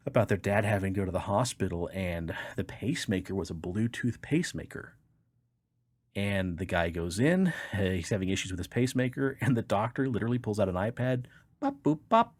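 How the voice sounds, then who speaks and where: A man's voice: fairly low pitch, darkish tone, dry recording. A man hosting a podcast, reading articles aloud and chatting in an informal, colloquial way. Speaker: podcast host.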